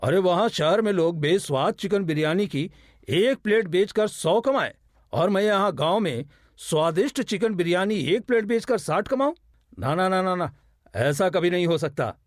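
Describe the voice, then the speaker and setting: A man's voice: sits high, expressive, normal speed, no room sound, clean studio sound. A man voicing a greedy, boastful crow who sells biryani in a cartoon moral story, exclaiming and self-satisfied. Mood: indignant.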